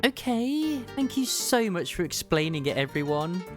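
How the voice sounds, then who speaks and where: A woman's voice: lowish voice, sing-song, normal speed, music in the background, pitched above the performer's own voice. Woman playing a kindly, polite daycare teacher. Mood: kindly, curious.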